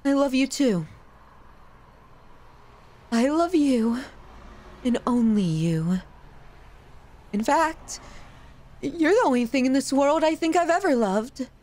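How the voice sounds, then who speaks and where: A woman playing an obsessive, self-loathing young yandere in an intimate ASMR roleplay, with an emotional, halting, pleading delivery. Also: high voice, animated, darkish tone. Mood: tender, melancholy.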